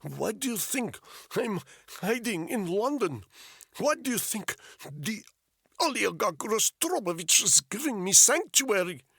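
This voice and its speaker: Russian-accented male captive, panicked, shouting, high strained pitch, husky edge. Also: big pitch swings, a little slow, no room sound, studio quality, full character voice. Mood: frantic. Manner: frantic, pleading, shouting.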